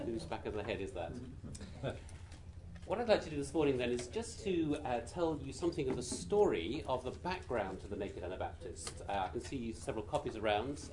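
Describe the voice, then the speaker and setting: Man, British accent, naturally quiet voice, mid pitch, measured informal talk, faint background ambience. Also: background noise. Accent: British English. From Britain. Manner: gentle, measured, with frequent hesitations. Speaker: guest speaker. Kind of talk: informal talk to a seated group.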